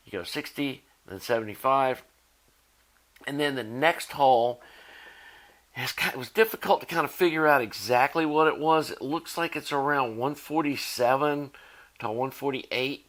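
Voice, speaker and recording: A man's voice: medium pitch, measured pace, fairly bright tone. A man, casually explaining a do-it-yourself fix for his tape deck in a folksy, rambling way. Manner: folksy and rambling, many "you know"s. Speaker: electronics DIY video maker.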